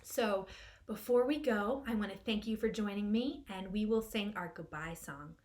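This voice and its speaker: A woman's voice: mid-range voice, moderate pace, a little mellow. A woman hosting a children's storytime as a librarian, giving simple, encouraging instructions to young children. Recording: clearly noisy room recording.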